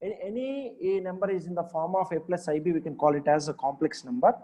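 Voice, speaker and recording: A man's voice: high-pitched, fast talker, warm tone. A man, teaching maths in a live online class. He repeats himself, checks on his students often and praises their answers.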